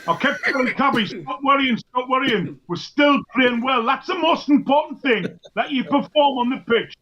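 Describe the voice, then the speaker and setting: A man's voice: extremely high pitch, normal speed, noisy background. A man co-hosting a casual fan chat, making firm, emphatic points. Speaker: co-host. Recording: dull lo-fi line.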